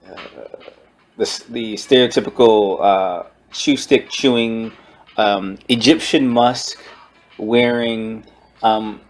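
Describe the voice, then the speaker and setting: A man with an average-pitched voice talks through a book review as an online host. His delivery is casual and slangy, and he often stalls to search for words. Faint music plays along with him.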